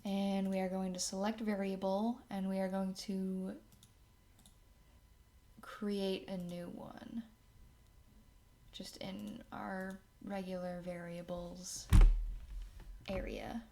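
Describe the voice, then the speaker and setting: A woman hosting a casual software tutorial, thinking aloud as she works through each step. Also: medium pitch, flat delivery, slow, long pauses. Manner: thinks aloud while working. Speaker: tutorial host. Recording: clear background noise, keyboard and mouse clicks.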